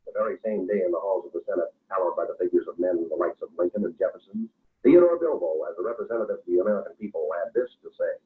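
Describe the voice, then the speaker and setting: A grave radio-drama narrator from the 1940s, delivering an accusing exposé with solemn, theatrical irony. The recording is tinny and narrow, like old radio. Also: male voice, low voice, animated, quick pace, a little hiss or hum. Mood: ironic, solemn.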